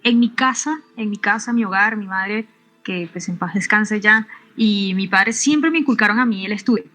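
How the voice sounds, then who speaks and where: A middle-aged woman from Venezuela, a physicist answering interview questions in a halting way, often restarting and adding tag questions. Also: mid-range voice, pushed and bright, soft background music. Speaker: physicist and researcher, interview guest.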